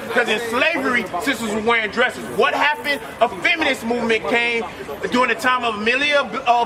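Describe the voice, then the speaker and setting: A man preaching loudly on a city street, shouting emphatic points and ordering a reader to read on. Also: very high voice. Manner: loud, emphatic, often shouting, barking commands to a reader. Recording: distant mic, noisy street crowd.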